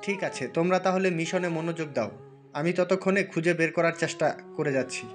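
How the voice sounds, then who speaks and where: A man acts out a senior naval chief in an audio story. He is official and concerned, talks fast in a slightly high voice, with music underneath. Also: fairly even intonation, close to an everyday voice. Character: concerned, questioning senior navy chief at headquarters. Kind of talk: audio drama acting.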